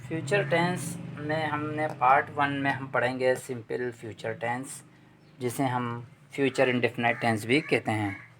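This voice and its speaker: Male grammar lecturer, patient and repetitive, mid-pitched voice. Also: fairly even intonation, measured pace, fairly bright tone, slight background noise. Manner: repeats points, explains patiently with rhetorical questions. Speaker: English grammar teacher. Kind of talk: explanatory lecture.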